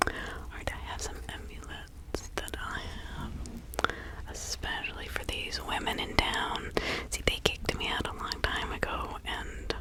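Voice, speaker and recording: A woman's voice: a little slow, lightly acted, rough recording. Whispering reclusive witch played by a woman. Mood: musing, then secretive, wary. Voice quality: breathy whisper. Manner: confiding, rambling, conversational. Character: reclusive 1600s village witch, gossipy, widowed, cautious fortune teller. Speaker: ASMR performer. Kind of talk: whispered ASMR roleplay.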